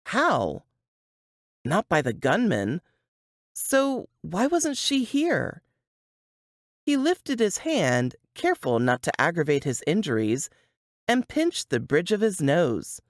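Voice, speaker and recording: Slow, very expressive woman reading fiction. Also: low-pitched, no room sound, studio quality. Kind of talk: expressive fiction audiobook narration.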